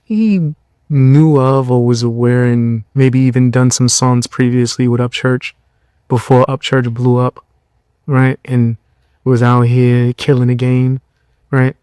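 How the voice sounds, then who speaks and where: A man's voice: fairly even intonation, slow pace, warm tone, clear, resonant tone, no echo. Blunt, mocking young man, dry sound. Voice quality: lower voice. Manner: mocking, blunt, loose slang. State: tired. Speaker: podcast host. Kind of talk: casual commentary.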